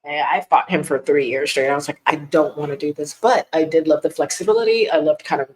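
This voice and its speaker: A woman in her thirties from Miami, a tax strategist guest, chatting in a lively way and laughing often. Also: low voice, brisk, a little hiss or hum, poor audio. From Miami, Florida, US. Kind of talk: casual podcast chat.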